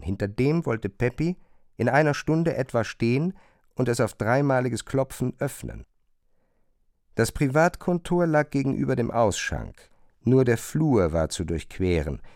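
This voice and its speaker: A man's voice: medium pitch, a little mellow, dry recording, clean studio sound. A man reading scripted literary narration for a radio drama. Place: dry studio.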